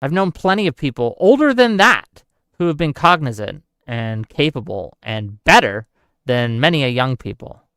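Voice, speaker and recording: A man's voice: mid-range voice, big pitch swings, moderate pace, fairly bright tone, smooth, dry recording. A man in his forties hosting a livestream, chatting casually about politics and reading out questions from his live chat. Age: 40s. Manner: conversational, answers chat questions, thinks aloud. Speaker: livestream host.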